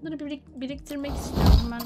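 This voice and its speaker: A woman game streamer with a high voice. She chats informally through her gameplay, muttering questions to herself, with loud game music underneath. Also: steady tone, fast talker. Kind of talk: casual live gameplay commentary. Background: game soundtrack.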